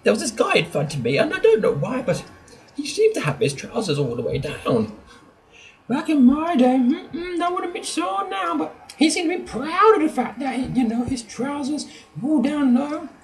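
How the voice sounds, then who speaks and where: A woman's voice: high-pitched, very animated, normal speed. A woman playing Muriel, a prim but gossipy elderly English lady with a genteel, put-on posh manner, chatting in a comedy sketch. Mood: gossiping. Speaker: sketch presenter.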